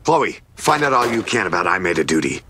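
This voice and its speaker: A man voicing a tough government agent, terse, intense and demanding, in an animated action-thriller parody. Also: low-pitched, a little fast. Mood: urgent. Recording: noisy action soundtrack with gunfire.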